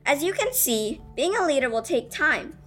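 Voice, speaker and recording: A woman's voice: high voice, very animated, moderate pace, fairly bright tone, soft background music. A female student earnestly reading a prepared speech aloud, addressing listeners with rhetorical questions. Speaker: student reading own essay.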